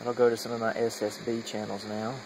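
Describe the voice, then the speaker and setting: Man, even intonation, creaky voice, hesitant rambling hobbyist demo, casual, humming noisy background. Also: on the low side, quick pace. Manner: rambling, hesitant, trailing off mid-thought. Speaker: amateur radio hobbyist demonstrating equipment.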